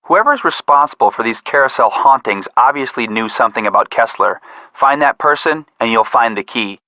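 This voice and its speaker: Cautious young male sleuth, bright forceful voice, mid pitch, level-headed, precise, game dialogue. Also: animated, a little fast, dry recording, low-quality recording. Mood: serious. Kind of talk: scripted game voice acting.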